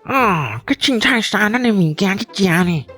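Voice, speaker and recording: A woman's voice: medium pitch, very animated, normal speed, bright, forceful tone, faint music behind. A woman as an indignant, swooping Taiwanese dwarf. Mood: indignant, suspicious. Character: short, stocky, indignant dwarf returning home to intruder. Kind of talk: comic radio drama acting.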